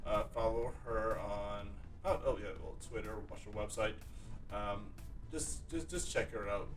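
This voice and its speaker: A man's voice: on the low side, a little slow, distant mic. A man hosting a podcast in a casual, rambling conversational way, often repeating his phrases. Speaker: podcast host. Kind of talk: casual conversational interview talk.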